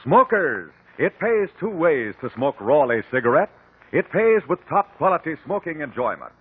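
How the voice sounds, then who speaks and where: A man's voice: on the high side, big pitch swings, normal speed, narrow, tinny audio, noisy background. A man with an American accent announcing an emphatic, scripted 1950s radio advertisement. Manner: emphatic, selling. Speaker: commercial announcer. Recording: band-limited old radio recording.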